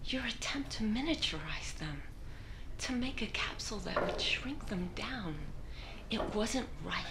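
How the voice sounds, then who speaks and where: A woman playing an anguished, doting mother in an audio drama, pleading and sorrowful, often hushed. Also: fairly high pitch, expressive, unhurried. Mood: anxious, tender. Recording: clearly noisy background ambience.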